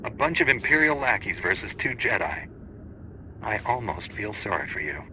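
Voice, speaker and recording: A man's voice: fairly low pitch, sing-song, moderate pace, pushed and bright, slight background noise, full character voice. A man playing a confident, steadfast Jedi hero in a video game, firm and authoritative. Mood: cocky. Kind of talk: scripted video game acting. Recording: music behind the voice.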